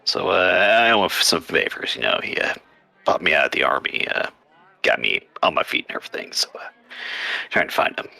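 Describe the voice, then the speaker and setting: Casting: low, gravelly male tabletop player, bright edge, halting and hesitant. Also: big pitch swings, dry recording. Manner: halting, many fillers. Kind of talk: playing a tabletop game in character.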